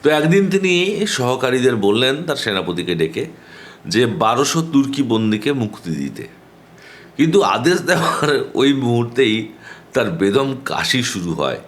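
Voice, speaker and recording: A man narrating humorous anecdotes in a conversational way, with dramatic pauses. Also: mid-range voice, sing-song. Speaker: storyteller.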